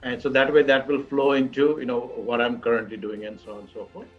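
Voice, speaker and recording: A man in his fifties with an Indian accent, telling his life story as an interview guest in halting, repetitive phrases. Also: medium pitch, flat delivery. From Mumbai, India. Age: 50s. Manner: halting, repetitive phrasing with frequent you-knows. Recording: dull lo-fi call audio, music underneath.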